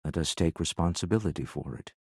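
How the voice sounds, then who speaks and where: An actor playing a wealthy, urbane older businessman in a video game, wry and composed, with husky, measured, refined speech. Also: sits low, animated, darkish tone, dry recording, clean studio sound. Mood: solemn. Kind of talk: scripted video game character dialogue.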